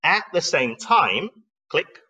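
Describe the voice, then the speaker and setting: Bright-voiced man presenting, slightly distant mic. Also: a little high, big pitch swings, slow, breathy edge. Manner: reflective, emphatic phrasing with rhetorical questions. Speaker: speaker presenting an online talk. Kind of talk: live slide presentation, explanatory and conversational.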